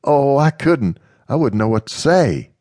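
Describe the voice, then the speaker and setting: A man voicing a devout, timid young woman who speaks softly and hesitantly. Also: medium pitch, big pitch swings, unhurried, dry recording, studio quality, voice pushed up. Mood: earnest. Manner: hesitant, soft-spoken.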